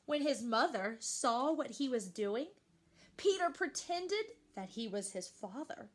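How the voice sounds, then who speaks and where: High, lively woman reading slowly to children. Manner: animated, slow and deliberate, addressing young children. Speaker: storyteller reading a picture book aloud to children. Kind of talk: expressive children's read-aloud with questions to listeners.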